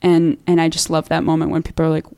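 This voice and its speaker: Young woman from Minnesota, singer-songwriter guest; chatty and self-deprecating, voice sits a little low. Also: monotone, quick pace, mellow, clean tone. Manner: chatty, restarts often, self-deprecating laughs. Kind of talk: casual studio interview.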